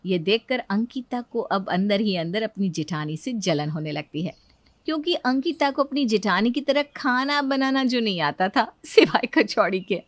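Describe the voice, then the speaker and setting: A woman storyteller giving a scripted, expressive narration of a moral tale. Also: medium pitch, moderate pace, no echo.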